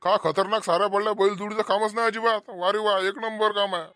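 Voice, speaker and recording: A man playing a blunt, excitable middle-aged village farmer uncle in a comedy cartoon, speaking emphatically in an earthy Vidarbha Marathi accent. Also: high-pitched, fast, dry recording. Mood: admiring chatter. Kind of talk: homemade rural comedy cartoon dialogue.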